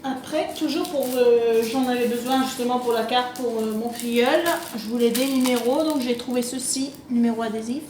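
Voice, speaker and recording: A woman's voice: on the high side, steady tone, normal speed, few pauses. A woman chatting casually and unscripted to camera, hesitant and rambling as she presents a craft shopping haul, recorded on a phone on a table. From France, half Italian. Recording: roomy echo, clearly noisy home recording.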